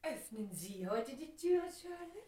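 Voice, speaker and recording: A woman's voice: high voice, sing-song, measured pace, dark tone. An actress playing a poised society lady, polite but coolly probing, in a German radio play.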